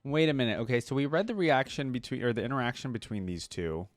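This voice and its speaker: A man's voice: mid-range voice, animated, fast, dry recording. A man giving casual reaction commentary as a video host, informal, slangy and rambling.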